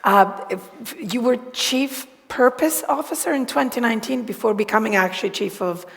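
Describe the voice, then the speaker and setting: A woman's voice: on the high side, normal speed, creaky. A woman moderating a conference session in an informal, conversational way, asking interested questions. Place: conference hall, on stage. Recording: echoey large hall sound.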